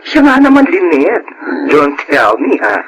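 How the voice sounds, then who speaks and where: An actress playing a sassy, frank office friend with a Filipino accent, speaking emphatically in a radio drama. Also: female voice, deep voice, huge pitch swings, unhurried, full character voice. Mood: teasing, cautioning. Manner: emphatic, exclamatory. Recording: noisy radio drama recording.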